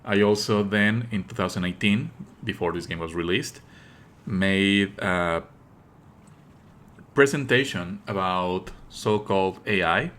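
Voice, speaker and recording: A man with a fairly low but bright, forceful voice hosts a live stream. He explains things in a pedantic, opinionated way, halting between phrases and leaning hard on key words. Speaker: streamer and host. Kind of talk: live game stream commentary, explanatory talk.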